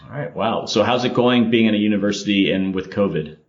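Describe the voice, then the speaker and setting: A man's voice: on the low side, a little fast, lo-fi sound. A man hosting a podcast interview, upbeat and chatty, often saying "all right" between questions. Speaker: podcast host. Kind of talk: casual interview chat.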